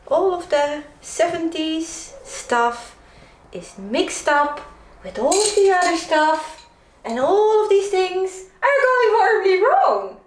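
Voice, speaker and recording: Young Dutch-accented woman, unhurried playful vlogger, high animated voice, roomy echo. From Netherlands. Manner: playful, giggly, self-mocking. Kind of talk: casual vlog chat. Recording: echoey room, faint background noise.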